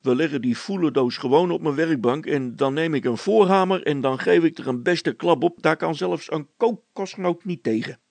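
A man's voice: on the high side, moderate pace, creaky, few pauses, a little mellow, no echo. A man voicing a stolid, plain-spoken handyman in a story, unflappable and stubborn with a dry, grumbling delivery. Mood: casual, blunt.

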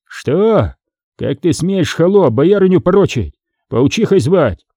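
A man's voice: fairly high pitch, sing-song, takes it slowly, mellow, no room sound, clean studio sound, pitched above the performer's own voice, full character voice. A man voicing a stern, haughty medieval prince in an audiobook, imperious and indignant. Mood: indignant command. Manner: imperious, indignant, questioning sharply. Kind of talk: dramatised historical audiobook.

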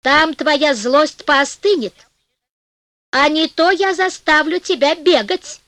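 A woman's voice: high-pitched, slow, fairly bright tone, no echo, voice pushed up. A woman voicing a cocky, penniless nobleman in a storybook tale, with a theatrical, mock-courteous and taunting manner. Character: cocky, penniless nobleman, polite and cunning trickster.

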